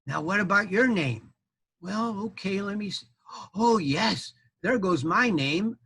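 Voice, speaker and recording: A man voicing a talkative, bossy mother armadillo in a storybook tale, with high, squeaky, excited chatter. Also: a little slow, breathy edge, full character voice.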